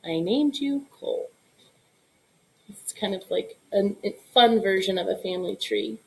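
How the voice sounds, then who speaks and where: A woman reading a children's picture book aloud in an expressive storytelling style. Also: medium pitch, a little slow, creaky, a little hiss or hum, rough recording. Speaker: storybook reader.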